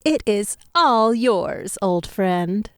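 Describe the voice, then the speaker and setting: A woman's voice: mid-range voice, animated, very slow, no echo, clean studio sound. A woman playing a flirty, sly female bat spy in a fan audio drama, with a coy, dramatic delivery.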